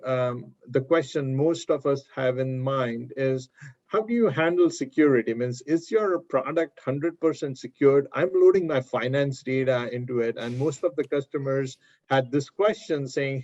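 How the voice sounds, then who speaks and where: A man with a mid-pitched voice hosts a business webinar interview. He speaks in an agreeable, summarising way, hesitating often with fillers. The sound is dull and lo-fi. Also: darkish tone. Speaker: webinar host. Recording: dull, lo-fi audio.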